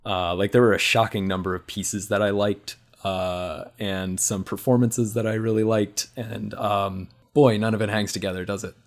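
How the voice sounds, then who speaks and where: A man's voice: on the low side, steady tone, normal speed, few pauses. A man talking casually as a podcast panelist, musing critically as he goes. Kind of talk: casual film discussion.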